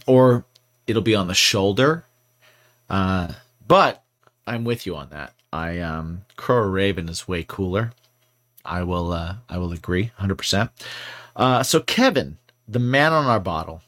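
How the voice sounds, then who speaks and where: A man's voice: low-pitched, expressive, unhurried, a bit bright. A man co-hosting a podcast, chatting casually and rambling playfully with many fillers. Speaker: podcast co-host. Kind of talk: casual chat.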